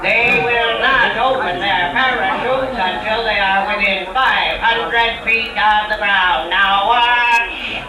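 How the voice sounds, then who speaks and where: An actor playing an air show announcer over a public-address system, slow and suspenseful, in 1940s radio drama. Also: male voice, high-pitched. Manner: slow, suspenseful showman delivery. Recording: echoing distant PA over crowd.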